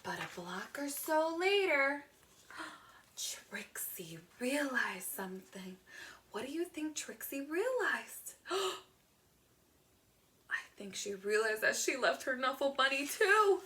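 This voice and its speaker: A woman reading a picture book aloud to young children in an expressive teacher's manner, drawing words out. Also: high voice, slow pace, slight background noise. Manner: expressive, asks the listener questions, gasps, draws words out.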